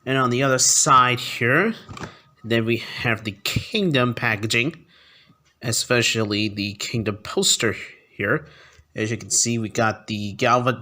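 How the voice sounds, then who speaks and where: A man's voice: mid-range voice, bright, forceful tone. Enthusiastic young man reviewing a toy. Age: young adult. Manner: enthusiastic, halting with repeated words. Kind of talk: casual enthusiastic product review to camera. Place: small furnished room at home, indoors.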